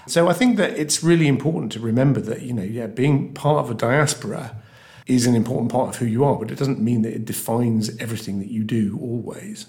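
A man's voice: medium pitch, fairly quick, a little mellow. A man from England hosting a discussion, speaking thoughtfully with fillers and restarts. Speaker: podcast host. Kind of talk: casual discussion.

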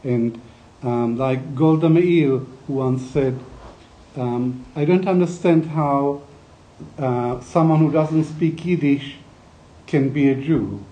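Slow, mellow older man, academic speaker. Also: mid-range voice, low-quality recording. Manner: measured, hesitant phrasing with deliberate pauses. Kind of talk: reflective academic talk at a conference.

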